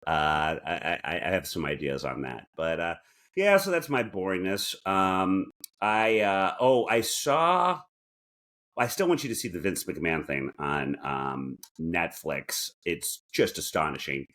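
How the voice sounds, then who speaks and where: A man's voice: sits low, big pitch swings, fairly bright tone. A man co-hosting a casual talk show, rambling and often breaking off mid-thought to start again. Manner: halting, rambling, self-interrupting. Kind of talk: casual chat.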